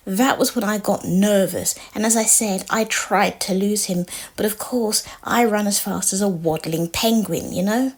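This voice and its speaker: Soft-spoken woman, higher voice. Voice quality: quiet soft voice. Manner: earnest, pleading, sometimes drops to a whisper. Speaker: storytelling vlogger. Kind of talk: casual storytime monologue.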